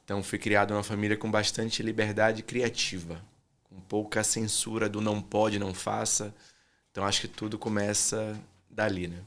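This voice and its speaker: A man from Salvador with a Bahian accent, a guest artist speaking thoughtfully and softly in an interview, often pausing with fillers. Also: on the low side, little pitch movement. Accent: Bahian Portuguese. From Salvador, Bahia, Brazil. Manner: soft-spoken, thoughtful, trails off with fillers. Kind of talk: reflective interview conversation.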